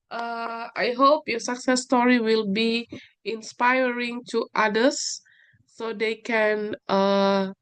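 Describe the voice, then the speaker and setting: A woman teacher runs an online English class over a video call. Her voice sits a little high, and she coaxes students along with gentle follow-up questions and fillers. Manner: encouraging, mixes in Indonesian fillers.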